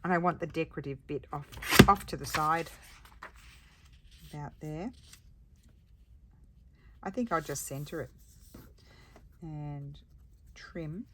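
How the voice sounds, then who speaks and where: A woman with a croaky voice, chatting casually and thinking aloud as she makes paper crafts at her craft table. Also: low-pitched, takes it slowly, pauses often, a bit bright, a little hiss or hum. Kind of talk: casual crafting chat while working.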